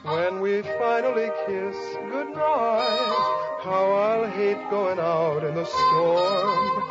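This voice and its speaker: A man singing a 1940s popular song in an old radio style. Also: high voice. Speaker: singer. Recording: dull old radio recording. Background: musical instruments.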